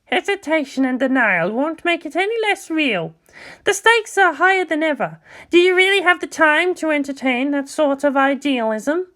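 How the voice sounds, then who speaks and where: A woman's voice: sits high, expressive, normal speed, a bit bright. A woman voicing an old male monk, a sardonic teacher who asks pointed, challenging questions in a dramatised story. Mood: pointed questioning. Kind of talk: dramatised fan fiction reading.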